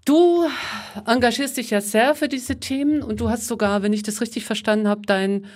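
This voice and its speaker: A woman's voice: on the high side, a little fast, a little mellow. Woman hosting a podcast interview. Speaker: podcast host.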